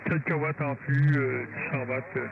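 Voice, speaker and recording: A man with a Quebec French accent chatting casually and haltingly over amateur radio about technical matters. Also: mid-range voice, fairly even intonation. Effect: radio transmission. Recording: thin narrowband radio link, clear static noise.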